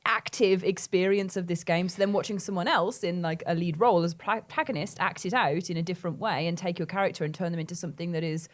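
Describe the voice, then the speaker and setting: A woman with a slightly low voice talks very quickly as a podcast co-host. Her style is loose and conversational, as in a casual panel discussion. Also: fairly even intonation.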